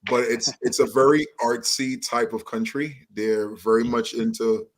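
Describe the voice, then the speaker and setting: A man from Trinidad and Tobago, a guest musician talking in a friendly, relaxed way about his start in music. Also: medium pitch, a little slow. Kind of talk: casual interview talk.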